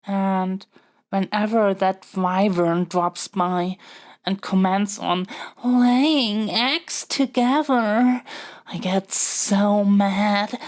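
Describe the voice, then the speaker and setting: A woman's voice: medium pitch, darkish tone. A woman playing an earnest, flustered young princess in an intimate audio roleplay, stammering and hesitating as she pleads. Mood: jealous. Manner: halting, hesitant, stammering delivery. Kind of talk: audio roleplay acting, intimate monologue to the listener.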